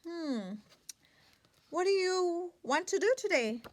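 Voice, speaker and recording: A woman's voice: extremely high pitch, lively intonation, slow pace, heavily acted. A woman voicing a small, friendly pig from a picture book, caring and full of questions. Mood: eager, friendly.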